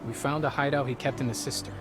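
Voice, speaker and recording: An actor playing a serious, dutiful teenage Spartan warrior in a video game, speaking in a measured, formal, earnest way over background music. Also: male voice, mid-range voice, steady tone, close to an everyday voice. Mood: matter-of-fact.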